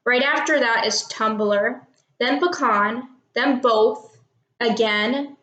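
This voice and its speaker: A woman's voice: on the high side, slow pace, a bit bright, lo-fi sound. Chatty 18-year-old woman, echoey room. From Texas, United States. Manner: chatty and unsure, many ums and little laughs. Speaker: vlogger doing an accent tag. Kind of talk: casual talk to camera, reading questions and word list.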